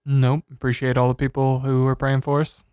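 A man's voice: medium pitch, monotone, a little fast, darkish tone, smooth, dry recording. Guy chatting on a church podcast, mid voice, easygoing, dry sound. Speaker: podcast guest back from a mission trip. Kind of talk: casual chat.

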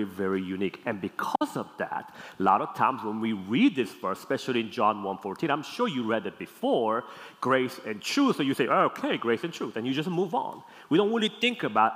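A man's voice: mid-range voice, a bit bright. Korean-accented man preaching in a reverberant hall. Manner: didactic, deliberate phrasing with emphatic repeats and check-in questions. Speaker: pastor. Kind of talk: teaching sermon. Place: large hall, speaking to a congregation.

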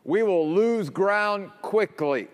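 A man preaching a sermon as pastor, emphatic, dropping low and quiet to stress points. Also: animated, takes it slowly, long reverb tail, soft background music.

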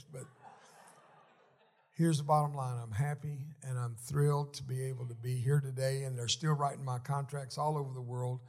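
A man in his seventies giving a folksy, joking testimony sermon while seated in a church, often halting and restarting. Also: medium pitch, darkish tone. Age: 70s. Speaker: guest speaker at a church.

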